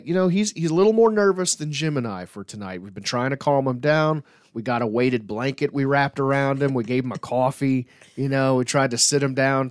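A man's voice: medium pitch, dry recording, studio quality. A man hosting a sports podcast in a casual, joking, teasing way. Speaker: podcast host.

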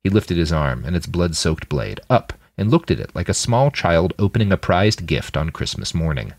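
A man reading scripted fiction as an audiobook narrator, with dramatic, expressive delivery. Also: sits low, moderate pace, gravelly, no room sound, studio quality. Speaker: fiction podcast narrator and author.

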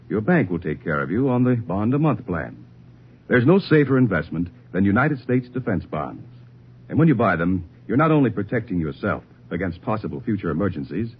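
A man's voice: on the low side, sing-song, moderate pace, slight background noise. A man reading a persuasive, earnest 1950s radio savings ad. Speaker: announcer. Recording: dull old radio broadcast.

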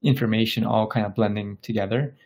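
A man's voice: fairly low pitch, fairly even intonation, brisk, darkish tone. A man from Bangladesh speaking as an interview guest, explaining things casually and thoughtfully, often searching for his words. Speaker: tech company founder interviewed as podcast guest.

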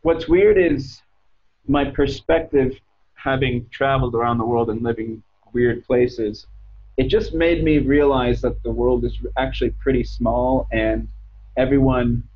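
Interview guest: American male in his thirties, raspy mid-pitched voice, conversational, dull lo-fi sound. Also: a little slow. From United States. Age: 30s. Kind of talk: casual conversational storytelling.

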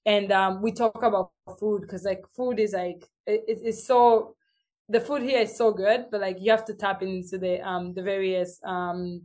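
A young woman with a Tanzanian accent, a guest in a casual interview, telling stories in a lively way and acting out what others said to her. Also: medium pitch.

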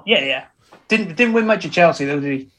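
A man's voice: a little high, expressive, brisk, pushed and bright. A man co-hosting a casual football podcast, teasing and joking with his co-host and laughing often. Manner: teasing, jokey, laughs a lot.

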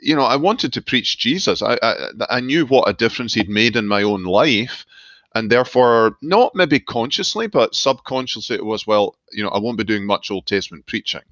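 A middle-aged man with a Glasgow Scottish accent, a seminary professor speaking as an interview guest in a measured, thoughtful way. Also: medium pitch, animated, fairly bright tone, no echo, lo-fi sound. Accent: Glaswegian Scottish. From Scotland. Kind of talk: reflective interview talk. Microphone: remote call line.

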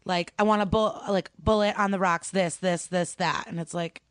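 A woman's voice: on the low side, steady tone, normal speed, clean tone, dry recording. A young woman from Ukraine, a stand-up comedian, chatting casually as a podcast guest and telling stories with many fillers.

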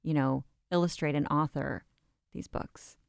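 A woman's voice: low-pitched, steady tone, measured pace, gravelly, clear, resonant tone, no room sound, studio quality. A woman hosting an interview, asking questions and responding with encouraging affirmations. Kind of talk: conversational interview.

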